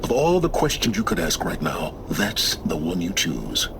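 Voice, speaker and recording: A cold, condescending scholar. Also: male voice, low voice, wildly swooping pitch, heavily acted. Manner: condescending, clipped, slow deliberate. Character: cold, aloof man, scholarly mentor. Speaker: game character. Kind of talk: video game cutscene acting. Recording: game audio with clear background noise.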